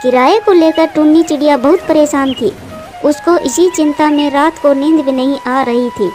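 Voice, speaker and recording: A woman narrates a scripted story for children. Her voice is very high, and music plays behind her narration. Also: normal speed, a little mellow.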